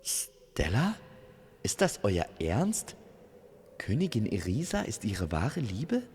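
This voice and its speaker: A man's voice: on the low side, wildly swooping pitch, slow, darkish tone, big-room sound, slight background noise, voice pushed up, heavily acted. A man voicing an agitated young winged love god in a fantasy audio story, exclaiming urgently. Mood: alarmed.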